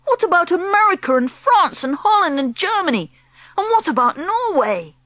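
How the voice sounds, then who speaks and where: A woman voicing a young boy who has been turned into a mouse, eager and anxious, pleading and excitable. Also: high voice, normal speed, no room sound.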